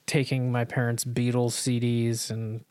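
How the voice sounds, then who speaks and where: Very low-voiced woman, dry sound. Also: flat delivery, unhurried, a little mellow, no echo. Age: 30s. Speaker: podcast guest. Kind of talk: casual chat.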